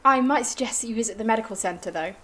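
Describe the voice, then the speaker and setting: A woman's voice: a little high, fast talker, bright, forceful tone, breathy edge. A woman playing a gracious but harried colony administrator in a science-fiction audio drama, formal and courteous.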